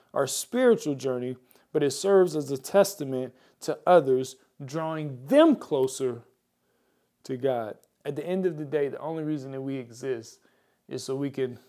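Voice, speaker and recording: A man's voice: medium pitch, darkish tone. An American man preaching a mid-week sermon as a pastor, exhorting his listeners directly and repeating key phrases for emphasis. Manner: exhorting, addresses viewers directly. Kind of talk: mid-week church sermon with prayer and scripture.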